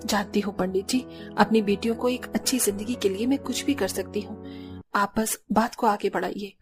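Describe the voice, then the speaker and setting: A woman's voice: medium pitch, steady tone, moderate pace, over music, close to an everyday voice. A woman voicing a poor, devoted village mother in a cartoon, speaking earnestly and sternly. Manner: earnest, emotional.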